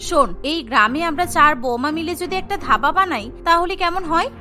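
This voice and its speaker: A woman's voice: very high-pitched, expressive, brisk, bright, forceful tone, soft background music, exaggerated voice. A woman voicing an enterprising, bossy eldest sister-in-law in a village cartoon, emphatic, complaining and coaxing. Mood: encouraging. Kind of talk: Bengali cartoon dialogue.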